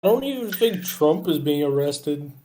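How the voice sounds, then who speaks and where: Slightly high-voiced man in casual conversation. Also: expressive, normal speed, dark tone, a little hiss or hum. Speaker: guest on a livestream. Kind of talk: casual chat.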